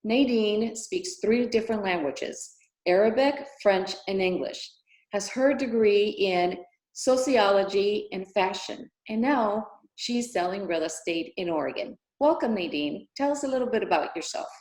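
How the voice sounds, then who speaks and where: A woman's voice: mid-range voice, a little slow, darkish tone. Woman host in an echoey room. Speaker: interview show host. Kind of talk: prepared introduction and interview questions.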